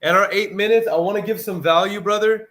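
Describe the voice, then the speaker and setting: A man who buys houses, making a live sales call and then talking excitedly with a friend, saying "bro" often. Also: high voice, brisk. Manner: upbeat, hyped, says "bro" often. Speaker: house buyer, livestream host.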